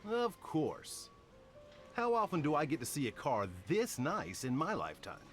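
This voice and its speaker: A man's voice: on the high side, wildly swooping pitch, moderate pace, faint music behind. An actor playing a chatty middle-aged male car mechanic in a romance game, folksy, casual and sympathetic. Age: 40s. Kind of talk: voiced dialogue in a romance game.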